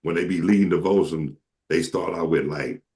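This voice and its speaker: Deep, gravelly man telling funny stories. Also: normal speed. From southern United States. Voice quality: deep, carrying voice. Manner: joking, animated quoting of others, slangy. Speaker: talk show host. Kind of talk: casual comic storytelling with friends.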